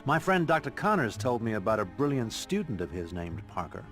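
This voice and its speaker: Animated, proud middle-aged scientist. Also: male voice, fairly low pitch, brisk, faint music behind. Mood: proud, enthusiastic. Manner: lecturing, expressive. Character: proud, genial, learned male scientist.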